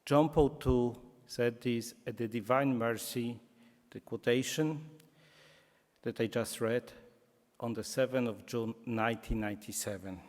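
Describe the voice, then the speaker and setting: A man with a Polish accent giving a public lecture, speaking deliberately and slipping in dry jokes. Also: mid-range voice, steady tone. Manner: slow, deliberate, joking asides. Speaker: guest lecturer. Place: lecture hall. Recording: reverberant lecture hall.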